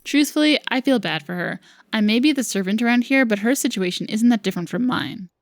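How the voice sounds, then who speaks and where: A woman voicing a wistful but quietly defiant young servant, reading visual novel lines aloud in a reflective way. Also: fairly high pitch, expressive, brisk, no room sound. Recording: music behind the voice.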